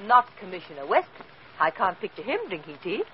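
A woman's voice: mid-range voice, very animated, fairly quick, lightly acted. An actress playing a curious young society woman in 1940s radio style. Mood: cheerful, curious. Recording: muffled, clearly noisy 1940s radio recording.

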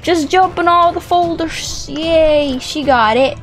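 A young woman giving excited, playful gameplay commentary, animated and exclamatory, often repeating words for effect. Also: extremely high pitch, slow pace. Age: young adult. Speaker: gaming video host. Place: small indoor room set up for streaming. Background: background music.

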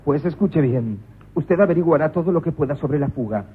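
A ruthless police commissioner in an old radio comedy, slightly high and husky. He speaks in stern, clipped orders and sometimes erupts into manic shouts. The broadcast sounds muffled. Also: expressive, fairly quick. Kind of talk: 1990s radio comedy drama.

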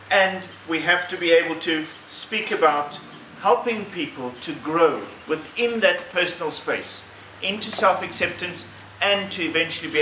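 Bright, higher-voiced middle-aged man presenting. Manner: emphatic, measured phrasing with repetition. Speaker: clergyman presenting as committee member. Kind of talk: conference presentation, oratorical. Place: conference room, indoors. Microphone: handheld microphone. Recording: roomy, slightly distant, faint noise.

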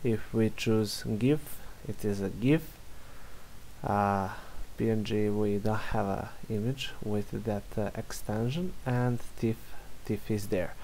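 A young man from Serbia with a Serbian accent, a software developer walking through a screen-recorded demo in halting English full of fillers. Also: on the low side, a little hiss or hum, poor audio. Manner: halting, many fillers and restarts.

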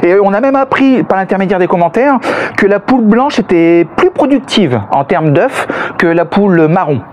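A man's voice: high-pitched, animated, moderate pace. A middle-aged man, chatting casually to camera as a family vlogger, hesitating and repeating words for emphasis. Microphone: clip-on lapel mic. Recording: noticeable background noise.

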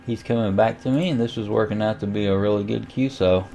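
A man giving a casual, unhurried screen tutorial, thinking aloud as he goes. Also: on the low side, steady tone, vocal fry, dark tone, soft background music. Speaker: amateur radio tutorial host. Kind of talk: casual screen-recorded software walkthrough.

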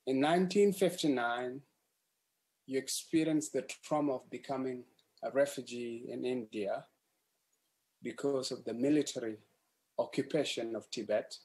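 A man's voice: mid-range voice, darkish tone, poor audio. A young man from South Sudan, an activist, earnestly asks a prepared question over a webcam video call from a room at home. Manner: earnest, deliberate, a little formal.

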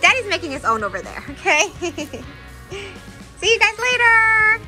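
A woman's voice: very high-pitched, sing-song, a little slow, sharp tone, soft background music. A woman in her thirties, a mother narrating a casual family vlog, cheerful and giggly as she cheers the kids on.

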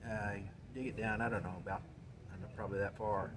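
A man in his sixties casually explaining a how-to method in a plain, halting way, searching for words. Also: on the low side, steady tone. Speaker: guest explaining a home method. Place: indoors in a cluttered shop. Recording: noisy room with background ambience.

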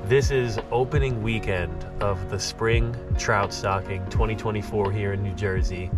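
Fishing vlog host: American man, thirties, lower-pitched, easygoing delivery outdoors, prominent music bed. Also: fairly even intonation, unhurried. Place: outdoors by a wooded river and road. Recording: loud music under the voice. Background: loud music.

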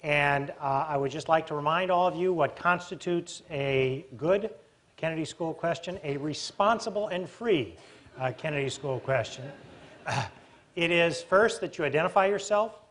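A man's voice: a little high, normal speed, a bit bright. A middle-aged man moderating audience questions at a forum in an orderly, lightly humorous way. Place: auditorium stage, indoors.

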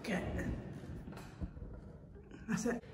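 A woman's voice: extremely slow, recorded from a distance. A woman chatting casually off camera, giving feedback as she helps to film. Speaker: off-camera helper filming. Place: indoor nursery room. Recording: very echoey room, some background noise.

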